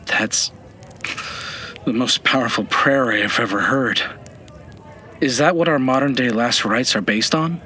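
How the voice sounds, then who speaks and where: A man's voice: medium pitch, measured pace, long pauses, pushed and bright. A man playing an eager, respectful pupil in a fantasy audio drama, attentive, curious and polite. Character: eager, respectful male pupil of a lore master.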